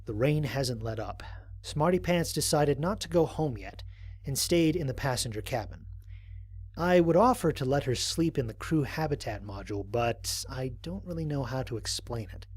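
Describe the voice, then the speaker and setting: A man playing an earnest human space explorer who records mission logs in a measured, reflective way, with a slightly husky voice, in an audio drama. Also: medium pitch, expressive. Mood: observant, wry. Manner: measured, reflective log-keeping delivery. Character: male human space explorer, earnest, observant, wryly fond. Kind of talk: scripted audio drama mission log narration.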